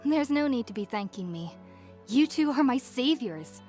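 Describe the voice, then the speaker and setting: A woman playing a gentle, friendly young female scholar in a video game. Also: high voice, expressive, normal speed, faint music behind. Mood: gentle, grateful.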